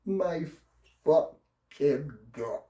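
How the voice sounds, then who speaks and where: A man's voice: a little high, lively intonation, dark tone, highs cut off. Agitated French Canadian man, echoey room. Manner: agitated, profane, halting English with repetitions. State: upset. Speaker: vlogger. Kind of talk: unscripted political rant.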